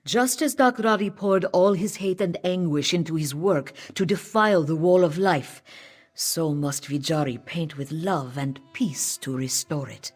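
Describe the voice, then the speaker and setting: Solemn, husky cat-folk priestess. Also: female voice, low-pitched, measured pace, a little mellow, faint music behind. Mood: solemn, urgent. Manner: speaks of herself in third person, earnest. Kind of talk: scripted fantasy game dialogue.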